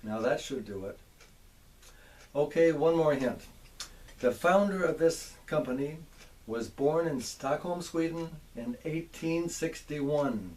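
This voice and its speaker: An older man with an American accent gives a folksy, measured show-and-tell, addressing viewers directly like a hobbyist presenter. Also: on the high side, expressive, a little mellow, poor audio. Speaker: presenter, antique radio hobbyist. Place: home workshop.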